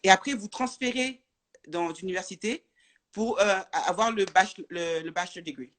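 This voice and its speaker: A woman's voice: mid-range voice, moderate pace, a bit bright. A woman from France, talking as a guest in a casual live interview, hesitant and full of fillers and restarts.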